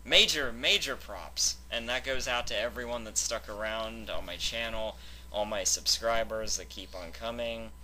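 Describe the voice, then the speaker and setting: Bright, forceful man bantering as channel host. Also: fairly low pitch, moderate pace, slight background noise, rough recording. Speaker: fanfic reading channel host. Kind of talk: casual banter introducing a reading.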